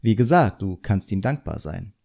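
A frank, composed woman who teaches drawing, voiced by a male audiobook reader. The voice is mellow, and the delivery is measured and instructive with a pointed edge. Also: lowish voice, sing-song, vocal fry, exaggerated voice.

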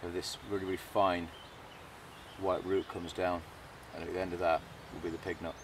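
A middle-aged man with a Lancashire accent and a deep, low voice, talking casually and explaining plants as a bushcraft instructor. He speaks relaxed, with fillers and restarts. He is recorded outdoors in a meadow on a camera mic, with faint outdoor noise behind him. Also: a little fast. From England. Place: outdoors in a grassy meadow by woodland.

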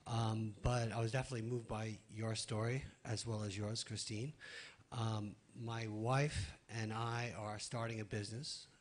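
A man's voice: on the low side, level tone, unhurried. Friendly man with a New York accent. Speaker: visitor sharing with the congregation. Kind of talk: casual church sharing. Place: church sanctuary. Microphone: handheld mic.

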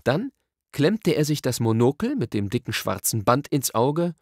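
Animated male storybook narrator, slightly gravelly. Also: mid-range voice, moderate pace, dry recording, clean studio sound. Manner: expressive storytelling. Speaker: audiobook narrator.

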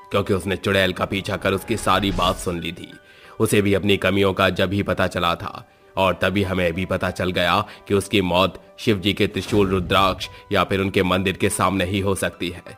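A man voicing a solemn village holy man and witch slayer, explaining in a measured way in a cartoon horror story. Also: sits low, flat delivery, fairly bright tone, soft background music, pitched below the performer's own voice.

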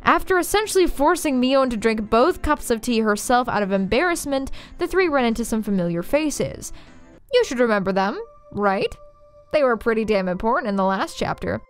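Young adult woman, fairly high voice, scripted recap narration, sardonic, casual, sweary, faint music. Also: expressive, moderate pace, clear, resonant tone. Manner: sardonic, informal, profane. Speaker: video essayist, narrator.

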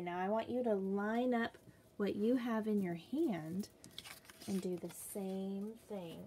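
A young woman teacher reading a picture book aloud and counting slowly for young children in a small room, patient and sing-song. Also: mid-range voice, dark tone, a little hiss or hum.

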